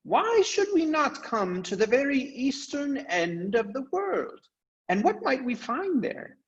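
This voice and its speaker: A man's voice: very high-pitched, very animated, slow pace, highs cut off, voice pushed up, heavily acted. A man voicing a small, valiant talking mouse with a courtly, earnest, formal manner for a storybook reading. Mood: lofty, longing.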